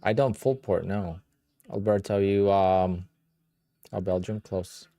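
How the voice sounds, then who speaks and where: A man's voice: sits low, little pitch movement, slow pace, mellow, no echo. A man with a Polish accent, Polish his first language, hosting a casual livestream and answering viewer questions in a blunt, offhand way. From Poland. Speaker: livestream host.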